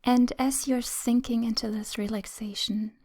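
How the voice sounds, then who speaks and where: A woman leading a guided meditation in a soothing, gentle, breathy whisper, speaking in short phrases with long pauses. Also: on the high side, fairly even intonation.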